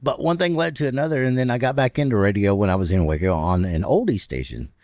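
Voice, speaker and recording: A man in his fifties with a deep, raspy voice, a radio personality telling stories with laughs in a casual interview. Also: quick pace, no echo, studio quality. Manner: animated, laughs between stories. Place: stadium club room.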